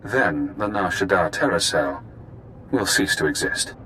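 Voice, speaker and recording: A calculating, engineered prisoner from a sci-fi game. The voice sits very low, with a cool and measured delivery that sounds quietly sinister. A faint rumble runs underneath. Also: male voice, expressive, full character voice, low-quality recording. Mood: clinical. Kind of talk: video game voice acting.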